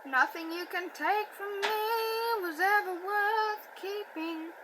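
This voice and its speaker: A woman voicing a defiant young girl who sings her lines slowly. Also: very high-pitched, noisy background, voice pushed up. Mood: defiant singing. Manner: slow, drawn-out sung delivery. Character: young female singer tribute, defiant and bold.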